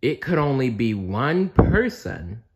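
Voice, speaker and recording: A man narrates a children's storybook at a slow pace. His medium-pitched voice moves with lively intonation, and he adds playful asides to his expressive reading. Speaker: storybook reader.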